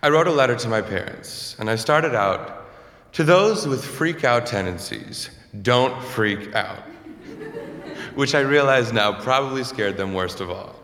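A young man, a student with a low voice, telling a personal story to an audience in an earnest, candid way. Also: expressive, unhurried, fairly bright tone. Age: young adult. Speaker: student speaker. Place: lecture hall. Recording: echoey hall with long reverb.

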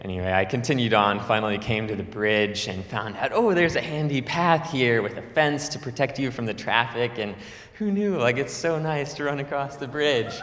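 A man's voice: medium pitch, normal speed, a bit bright. A young man from Nebraska, a student telling a true story to an audience in a chatty way, laughing often and using lots of fillers. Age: young adult. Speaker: student speaker. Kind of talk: storytelling speech. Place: lecture hall. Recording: echoey hall with long reverb.

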